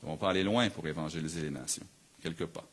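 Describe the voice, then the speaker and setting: A man's voice: a bass voice, racing. A man with a Quebec French accent preaching a sermon as a pastor, earnest and emphatic, building his points through repeated phrases. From Canada.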